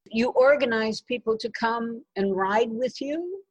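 A woman hosts a radio magazine interview and asks curious, friendly questions. Her voice is breathy, and the sound is dry and close with no room around it. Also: a little high, lively intonation, a little slow, dry recording. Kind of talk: interview hosting.

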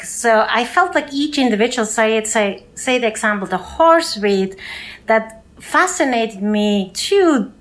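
A woman from Switzerland speaking English with a Swiss accent, a choreographer answering interview questions and often pausing to find her words. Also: fairly high pitch. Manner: searching for words, non-native phrasing. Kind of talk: conversational interview.

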